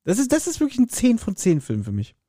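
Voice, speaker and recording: A man's voice: extremely high pitch, huge pitch swings, quick pace, mellow, no echo, clean studio sound. A man co-hosting a podcast in casual chat, talking enthusiastically and rambling as he repeats phrases. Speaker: podcast co-host.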